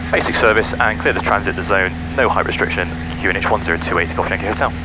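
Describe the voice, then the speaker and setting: Low-voiced male pilot in a noisy cockpit. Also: quick pace. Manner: methodical, talks through checks aloud. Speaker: private pilot. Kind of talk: radio calls and self-talk cockpit commentary. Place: small light aircraft cockpit in flight. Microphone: aviation headset. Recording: dull cockpit intercom, loud engine noise.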